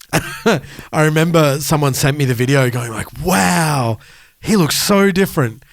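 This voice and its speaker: A man's voice: a little high, unhurried. A man co-hosting a music podcast, talking in a casual conversational style. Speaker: podcast co-host.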